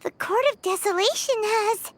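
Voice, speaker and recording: A woman's voice: extremely high pitch, moderate pace, a little hiss or hum. A woman voicing a small, eager, loyal companion creature in a video game. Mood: alarmed. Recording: music behind the voice.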